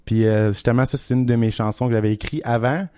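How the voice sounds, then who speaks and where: Halting Québécois man, dry sound. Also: medium pitch, clear, resonant tone, no room sound. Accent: Québécois French. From Quebec. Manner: relaxed, halting, many restarts and fillers. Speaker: country singer guest. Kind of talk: casual radio interview.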